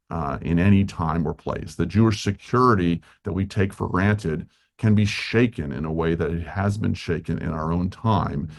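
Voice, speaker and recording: A man's voice: sits low, creaky. A man giving earnest formal remarks at a remembrance ceremony, with frequent fillers. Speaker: official speaker at a remembrance ceremony.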